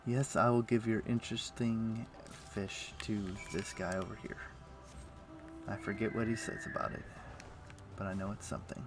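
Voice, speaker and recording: A man's voice: on the low side, flat delivery, normal speed. A man giving casual game stream commentary, thinking aloud as he plays. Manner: thinking aloud while playing. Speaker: livestreamer. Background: music.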